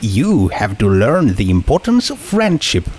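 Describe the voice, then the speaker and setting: A man voicing a cool, pitiless teenage girl with a condescending, calmly menacing manner in a dramatic story reading. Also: fairly low pitch, unhurried, a little hiss or hum.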